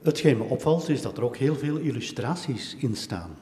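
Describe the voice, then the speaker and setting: A man's voice: medium pitch, expressive, normal speed, mellow. A man, putting prompts and comments as an interviewer in an echoey room. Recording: echoey room with long reverb.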